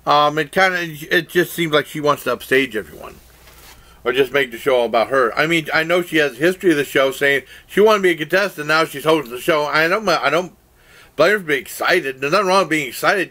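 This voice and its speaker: Bright-voiced older man rambling. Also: on the high side. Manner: rambling, hesitant, many fillers and restarts. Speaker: vlogger reviewing a game show. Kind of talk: casual rambling review. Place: small room indoors, plain wall. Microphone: webcam at desk.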